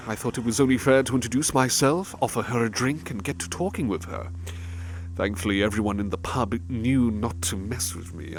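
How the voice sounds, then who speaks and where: A man playing a refined, sadistic serial-killer nobleman in a horror audio drama, gloating theatrically and savouring his words with breathy drops. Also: medium pitch, a little hiss or hum, natural voice, rough recording. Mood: smug storytelling. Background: music under the voice.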